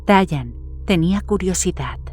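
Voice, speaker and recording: A woman's voice: on the low side, animated, normal speed, darkish tone, soft background music. A woman reading a horror story as scripted narration.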